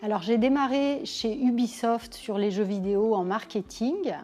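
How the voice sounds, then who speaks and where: A woman in her forties from Lyon, thoughtfully telling her career story in a measured way with frequent pauses. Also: a little high, dark tone, faint music behind. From Lyon, France. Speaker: business owner interviewee. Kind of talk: personal career account to camera.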